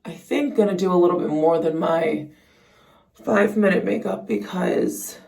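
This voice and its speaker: A young woman with a slightly raspy voice chats casually and informally to camera as a vlogger, peppering her talk with "like". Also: lowish voice, moderate pace, warm tone, distant mic. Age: young adult. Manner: chatty, informal, frequent "like". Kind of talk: casual chat to camera while getting ready. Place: indoors at home.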